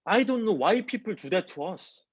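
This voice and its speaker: Young male, Korean accent, student actor delivering a halting monologue over lo-fi, dry video-call audio. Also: high voice, lively intonation, husky, dry recording. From South Korea. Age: 20s. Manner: halting English with pauses. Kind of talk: dramatic reading of self-written monologue. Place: small plain indoor room. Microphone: wireless earbuds on a video call.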